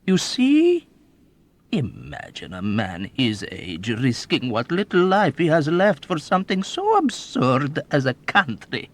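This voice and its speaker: A man's voice: a little high, wildly swooping pitch, voice pushed up, exaggerated voice. A man voicing a sly, villainous 107-year-old man who talks suavely and teasingly in an audiobook. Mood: teasing, scornful. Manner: suave, teasing, drawn-out delivery.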